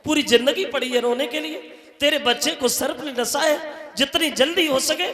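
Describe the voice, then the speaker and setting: A man's voice: very high voice, moderate pace, bright, forceful tone, pitched above the performer's own voice. A man voicing a village gardener woman who bluntly consoles a grieving mother. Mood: consoling. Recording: echoey hall with tabla music.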